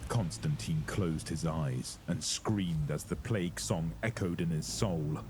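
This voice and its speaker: Very deep-voiced, grave male horror narrator. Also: unhurried, background noise. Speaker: narrator of horror audio drama. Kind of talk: dramatic scripted storytelling.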